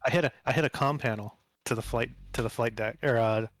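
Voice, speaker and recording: A man's voice: mid-range voice, fast talker, fairly bright tone, no echo. A man chatting casually as a player in a tabletop role-playing game, describing what his character does.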